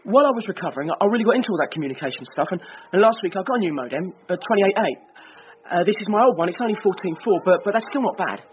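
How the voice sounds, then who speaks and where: An actor playing an eager, earnest young detective sergeant, slightly hesitant, in a naturalistic radio drama. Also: male voice, high voice, animated, racing. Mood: enthusiastic. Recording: dull lo-fi radio broadcast, faint noise.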